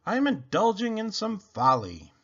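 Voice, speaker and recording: Little storybook lady, male reader: sweet, mild, a touch mischievous, raised pitch, very sing-song delivery. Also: wildly swooping pitch, moderate pace, fairly bright tone, husky, muffled recording, full character voice. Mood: gleeful.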